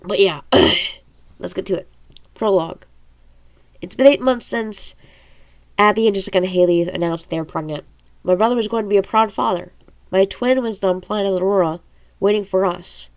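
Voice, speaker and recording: A woman's voice: mid-range voice, normal speed, pauses often. A woman with a congested, allergy-stuffed nose reads her own fantasy story aloud as an amateur audiobook narrator, informal and stumbling over words now and then. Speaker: amateur audiobook reader and author.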